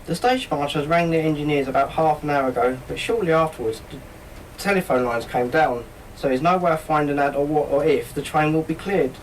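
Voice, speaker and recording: An amateur radio play actor as a courteous, measured railway guard with a matter-of-fact manner. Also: mid-range voice, a little hiss or hum, natural voice. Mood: apologetic. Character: apologetic, formal railway guard on snowy night. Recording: slightly distant community radio studio.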